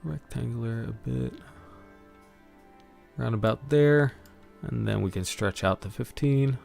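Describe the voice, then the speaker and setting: A man talks to himself in a casual, rambling way while working on a stream. His delivery is unhurried and his voice has a gravelly fry. Faint music plays behind him. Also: mid-range voice, a little mellow. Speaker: streamer, game master. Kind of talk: casual thinking-aloud stream commentary.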